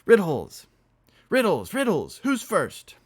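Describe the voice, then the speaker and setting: A man's voice: high-pitched, huge pitch swings, moderate pace, voice pushed up, heavily acted. A man voicing a greedy, boisterous drunken ringleader in a novel, theatrical and ceremonious. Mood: manic.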